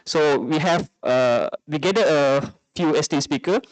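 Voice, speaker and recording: A male panel moderator with a slightly high voice, recorded dry. He introduces speakers and frames the discussion in halting, non-native English. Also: no echo, rough recording. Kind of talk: introducing a youth forum panel.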